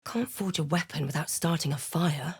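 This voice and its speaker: A woman playing a snarky young female adventurer in a video game, with a measured, dry and dramatic scripted delivery. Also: low-pitched, breathy edge, no echo. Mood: dry, resolute. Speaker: video game player-character voice actor. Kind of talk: scripted game voice lines, narration and spell incantations.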